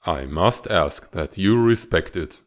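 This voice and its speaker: A man playing a stern, guarded serpent-dragon rebel leader and father in a comic fandub, speaking in a measured, formal, authoritative way. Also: low voice, expressive, a little hiss or hum. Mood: guarded, firm. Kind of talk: dramatic comic fandub acting.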